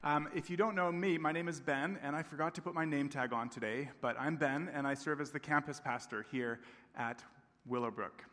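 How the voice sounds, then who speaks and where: A man's voice: on the high side, moderate pace, sharp tone. A man in his thirties, a campus pastor raised in Tanzania, preaching a sermon in a friendly, conversational way and often checking in with the congregation. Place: large church sanctuary. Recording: long reverb of a church hall.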